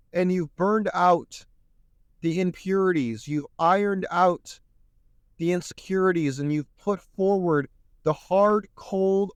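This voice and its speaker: A man talks alone into the mic in an earnest, sermon-like way, his slightly high voice starting to wear out and go hoarse. The sound is dry and close, with no room around it. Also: dry recording. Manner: earnest, preachy, repeats "my friends". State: losing his voice. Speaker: podcast host. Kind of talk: solo podcast monologue.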